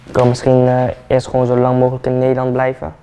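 An eighteen-year-old man, a football player, chatting relaxed and hesitant with many fillers while getting a haircut in a barbershop. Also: medium pitch, fast, clean tone. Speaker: football player, interview guest. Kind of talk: casual interview chat. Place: barbershop, indoors, seated in barber chair.

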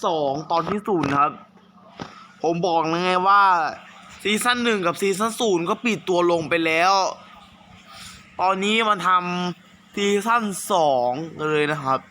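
A man's voice: high voice, lively intonation, a little slow, bright, forceful tone, a little hiss or hum. A man hosting a gaming channel, chatting informally to viewers as he introduces a fan-dubbed cartoon.

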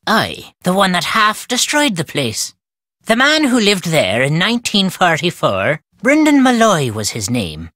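An actor playing a crotchety, superstitious old Irish caretaker in a video game, telling tales in a folksy, ominous, emphatic way. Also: male voice, high voice, wildly swooping pitch, measured pace, bright, forceful tone, no room sound, studio quality, exaggerated voice. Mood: ominous storytelling. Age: older. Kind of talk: theatrical storytelling game dialogue.

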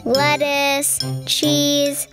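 A very high-pitched, youthful female voice reads a picture book aloud for children. She takes her time with each line and delivers it expressively. Music plays clearly behind her. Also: drawn-out words. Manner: expressive, addressing young listeners. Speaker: children's storytime reader.

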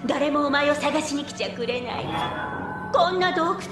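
An actress voicing a wicked, gloating cartoon pony princess who sneers and mocks. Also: female voice, sits high, normal speed, lightly acted. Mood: gloating, taunting. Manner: mocking, sneering. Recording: distant, echoey, under loud music.

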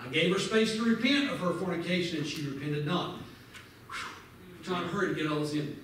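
Fervent high-voiced man teaching in an echoey church. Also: brisk, a bit bright. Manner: fervent, quotes scripture, urges listeners directly. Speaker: Sunday school teacher. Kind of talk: preaching Bible lesson. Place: large church sanctuary, indoors. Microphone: far camera at back of pews. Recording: echoey sanctuary from far off, faint noise.

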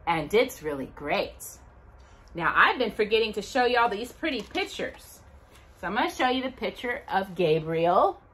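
A middle-aged woman hosting a children's storytime, reading aloud in an expressive, sing-song way to young listeners. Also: mid-range voice, moderate pace. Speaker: storytime host. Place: indoors at home by a brick fireplace.

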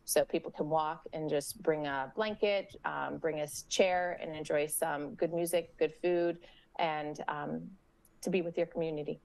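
A middle-aged woman with a low voice speaks over a video call from a room at home. She talks in a friendly, easygoing way, often stopping with fillers and restarting. The sound comes through a laptop webcam mic. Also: steady tone, a little slow, vocal fry, a little mellow, poor audio. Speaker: parks and recreation organizer. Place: home room with window blinds.

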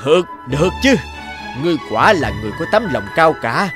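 A man's voice: a little high, big pitch swings, a little fast, music in the background. Grand cartoon king, musical backing. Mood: magnanimous. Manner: grand, declamatory. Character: stern but magnanimous grown king. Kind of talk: cartoon voice acting.

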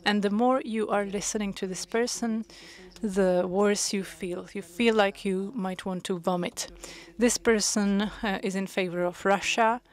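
Brief: woman interpreter, unhurried delivery, mid-range voice, hesitant pauses between phrases. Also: medium pitch, fairly even intonation. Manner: measured, with frequent hesitations. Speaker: conference interpreter. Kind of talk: simultaneous interpretation of a panel discussion.

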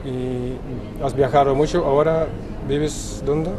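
A man interviewing a guest, asking short questions and murmuring softly in agreement. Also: medium pitch, fairly even intonation, slow pace. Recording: outdoor city noise, traffic.

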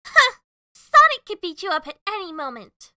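A feisty, lovestruck cartoon hedgehog girl, extremely high and piercingly bright. Her girlish delivery is emotive and swings widely in pitch, with music playing underneath. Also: big pitch swings, moderate pace, no echo, clean studio sound, heavily acted. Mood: proud. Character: excitable, lovestruck, feisty young female hedgehog. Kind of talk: fan comic dub acting.

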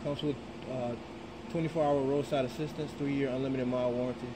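Man, car vlogger voice: flat and unhurried, reads specs off the sticker, filmed on a handheld camera in a showroom with steady hum behind. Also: mid-range voice. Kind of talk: casual walkaround commentary.

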